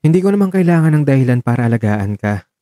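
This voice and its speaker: Man acting fretful, caring Marco, mellow-voiced. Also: medium pitch, very animated, fast talker, no echo, clean studio sound, lightly acted. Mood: caring, insistent. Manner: exasperated, insistent, emotional.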